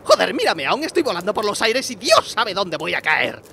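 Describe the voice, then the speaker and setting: A man's voice: sits high, sing-song, moderate pace, vocal fry, sharp tone, a little hiss or hum, heavily acted. A man voicing a hot-tempered, exasperated cartoon hero in a comedy dub, foul-mouthed and over-the-top.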